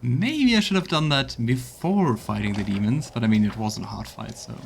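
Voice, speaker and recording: A man's voice: mid-range voice, animated, normal speed, vocal fry, slight background noise. A man streaming a game with casual live commentary, muttering wry asides to himself as he plays.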